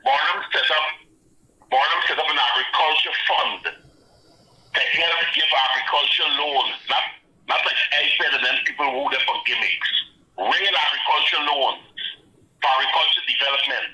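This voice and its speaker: A man's voice: on the high side, normal speed, bright, forceful tone. A man in his forties calling in, insistent, repeating himself and talking over the host. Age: 40s. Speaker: caller. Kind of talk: call-in debate. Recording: tinny phone line.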